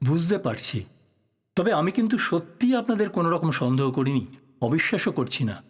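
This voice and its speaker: An actor playing a polite, inquisitive newspaper reporter in an audio drama, with a husky voice. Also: male voice, a little high, very animated, brisk. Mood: earnest, courteous. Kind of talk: Bengali audio drama acting.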